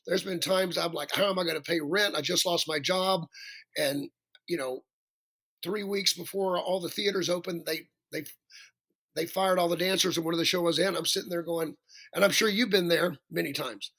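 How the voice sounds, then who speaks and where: A male singer with a raspy voice, chatting as a podcast guest, rambling and self-deprecating with many restarts. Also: on the high side, moderate pace, fairly bright tone. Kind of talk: casual interview chat.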